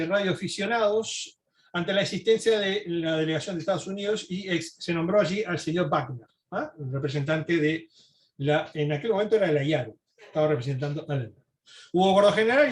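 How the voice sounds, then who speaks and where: A man lecturing informally from notes, explaining as he goes and adding asides and rhetorical questions. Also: high voice.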